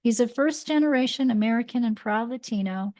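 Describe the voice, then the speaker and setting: An enthusiastic woman hosts the interview, her voice a little higher than most. She introduces her guest warmly and fills his pauses with encouraging murmurs, recorded close and dry. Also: no echo. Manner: enthusiastic, encouraging, many affirming "mm-hmm"s. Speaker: podcast host. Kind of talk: interview host introduction and chat. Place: Albuquerque area.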